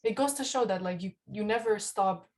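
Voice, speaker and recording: Casting: female podcast co-host, twenties, medium pitch, loose conversational delivery full of "like". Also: fairly quick, darkish tone. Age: 20s. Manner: informal, heavy use of "like". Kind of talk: casual chat.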